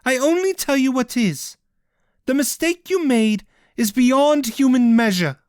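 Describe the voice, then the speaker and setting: A storyteller voicing a feverish young woman mage who speaks in a sharp, strained, defiant way. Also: sits high, animated, measured pace, smooth, no echo, studio quality, voice pushed up. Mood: grave.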